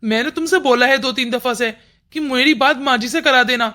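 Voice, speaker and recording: A woman's voice: high-pitched, fairly quick, pushed and bright. A woman voicing a concerned, earnest grown son on a call home in a Hindi cartoon story.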